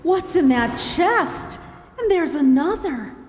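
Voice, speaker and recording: A woman's voice: very high voice, lively intonation, takes it slowly, a little hiss or hum, full character voice. A woman playing an affectionate, emotive seventeen-year-old girl, a captain's daughter, in a children's radio play. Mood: overjoyed. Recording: reverberant hall.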